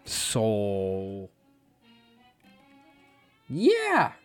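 A young adult man hosting his own podcast, talking in a rambling, confessional way, his voice a little hoarse as it recovers. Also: fairly low pitch, wildly swooping pitch, drawn-out words. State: slightly hoarse, recovering lost voice. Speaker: podcast host. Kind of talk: casual solo podcast talk. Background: faint jazz music.

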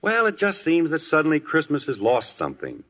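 A man's voice: a little high, wildly swooping pitch, brisk. An American actor playing a wry, nostalgic middle-aged husband and father in a 1950s radio sitcom. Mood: wistful, exasperated. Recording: muffled lo-fi 1950s radio recording.

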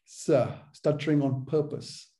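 Middle-aged guy hosting a podcast, gravelly and really dark voice, earnest, stutters on purpose sometimes. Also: mid-range voice, unhurried. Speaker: podcast host. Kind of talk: conversational interview.

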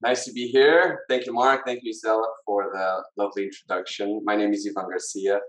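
A man's voice: on the low side, fairly even intonation, fairly quick. A man in his thirties with a Portuguese accent, talking reflectively as a podcast guest and searching for words. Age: 30s. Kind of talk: reflective interview talk. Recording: roomy, echoing sound.

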